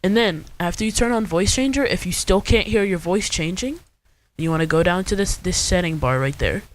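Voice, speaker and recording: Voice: mid-range voice, brisk, slight background noise. A young adult host giving an informal, step-by-step screen tutorial in a casual way. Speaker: video host.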